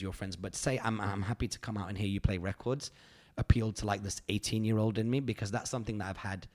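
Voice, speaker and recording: Podcast host, man in his thirties, low voice, loose rambling delivery with lots of fillers. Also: a little mellow. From United Kingdom. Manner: rambling, filler-heavy, earnest and grateful. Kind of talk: casual reflective chat.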